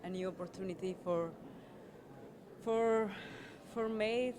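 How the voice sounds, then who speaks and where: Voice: medium pitch, slow pace, background noise. A non-native English speaker on a film cast, answering casually and searching for words. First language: not English. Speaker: film cast member being interviewed. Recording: crowd chatter in press area.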